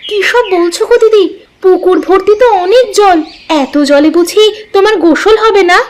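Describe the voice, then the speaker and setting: A woman's voice: very high-pitched, moderate pace, darkish tone, slight background noise. A woman voicing a proud, plain-spoken poor village wife in a cartoon drama. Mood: indignant.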